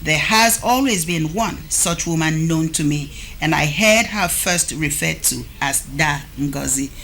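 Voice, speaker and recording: Woman TV host, lower voice, measured delivery, slight noise behind. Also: lively intonation, fairly bright tone. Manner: measured, reads poems aloud slowly. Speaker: TV talk show host. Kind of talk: studio interview. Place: TV studio.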